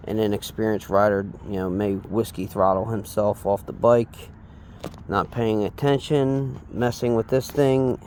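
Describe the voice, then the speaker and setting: A man giving a casual, unscripted hands-on tutorial, speaking haltingly and trailing off as he works with his hands. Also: lowish voice, darkish tone, a little hiss or hum. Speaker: DIY installation demonstrator.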